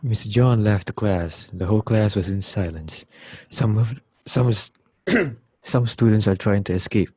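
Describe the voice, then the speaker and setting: A man's voice: lowish voice, a little slow, warm tone, slightly rough, no echo. A man narrating a scripted amateur drama. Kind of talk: scripted story narration for amateur reader's theater.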